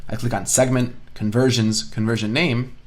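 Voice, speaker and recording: A man's voice: medium pitch, steady tone, normal speed. A man instructing an online course in an informal screencast style, explaining as he thinks aloud and repeats phrases for emphasis. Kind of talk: informal screencast software tutorial.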